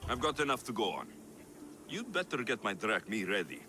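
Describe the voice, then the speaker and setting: An actor playing a confident mercenary hero of ancient Greece in a video game, with a dry, sardonic, businesslike manner. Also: male voice, on the low side, sing-song, fairly quick, noisy background. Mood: wry. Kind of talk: video game dialogue acting.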